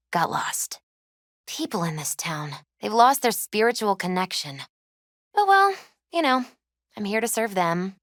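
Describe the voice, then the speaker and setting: An actress voicing a gentle, spiritual young woman who reads tarot in a video game, speaking softly and in measured, wistful phrases. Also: on the low side, dry recording, clean studio sound. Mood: wry, wistful. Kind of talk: video game character dialogue and tarot readings.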